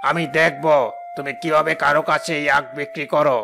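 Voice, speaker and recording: A greedy village landlord from a moral-tale cartoon, acted by a man. His voice sits a little high for a man, and he speaks insistently, with menace. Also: level tone, fairly quick, vocal fry. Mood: threatening. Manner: insistent, threatening. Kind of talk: Bengali fairy-tale cartoon voice acting.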